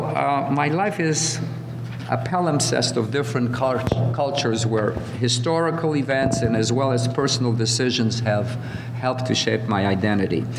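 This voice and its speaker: Older man in an echoey hall. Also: mid-range voice. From Central Europe, later Chile. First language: Hungarian. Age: 70s. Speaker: panel speaker at a literary conference. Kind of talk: prepared autobiographical talk with asides. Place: college hall, at a panel table. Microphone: table microphone on a stand. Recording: echoey hall with loud hum.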